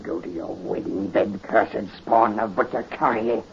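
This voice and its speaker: An actor playing a hate-filled old manservant who curses a bridal pair, in a 1930s radio drama. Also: male voice, fairly low pitch, steady tone, a little slow. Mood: menacing. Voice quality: gravelly, sinister. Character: old male servant, hate-filled, cursing. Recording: muffled 1930s radio recording, faint hiss.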